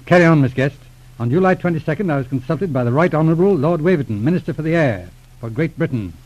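Male detective for vintage radio serial: quick, clipped delivery, serious and courteous, dull old recording. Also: fairly high pitch, lively intonation, darkish tone. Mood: brisk, businesslike. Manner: clipped, businesslike, formal. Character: grave, courteous male private detective, former police inspector. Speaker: radio drama actor. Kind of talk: 1940s radio acting.

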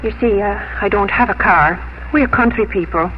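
A woman's voice: medium pitch, normal speed, lightly acted. A woman acting a shy, polite young country schoolmistress who flusters easily, in 1950s radio drama style. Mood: shy, flustered. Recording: muffled, noisy 1950s radio broadcast.

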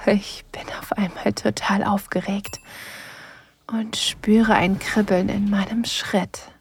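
A woman's voice: medium pitch, level tone. An actress playing a playful, sensual woman on holiday, narrating intimately in an audio drama and slipping into whispers. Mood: aroused, intimate. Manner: breathy, slow, sensual delivery slipping into whispers.

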